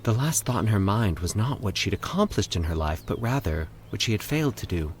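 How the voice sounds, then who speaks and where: A man voicing a calm, eerie woman who communes with the dead, speaking in a soothing, measured monotone for an audio horror story. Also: on the low side, a little hiss or hum, lightly acted. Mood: grave, calm.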